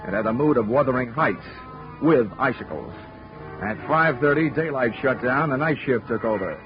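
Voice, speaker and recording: A man's voice: medium pitch, lively intonation, normal speed, close to an everyday voice. An actor playing a hard-boiled insurance investigator in a 1940s radio drama, narrating his case with dry wisecracks. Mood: wry. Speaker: narrator and lead. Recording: lo-fi 1940s radio broadcast with music.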